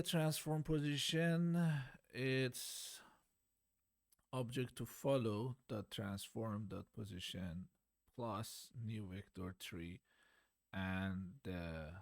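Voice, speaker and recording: Slow, hesitant man. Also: lowish voice, vocal fry, no room sound, studio quality. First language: Farsi. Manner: hesitant, searching for words, self-deprecating. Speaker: beginner game developer. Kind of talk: unscripted coding walkthrough.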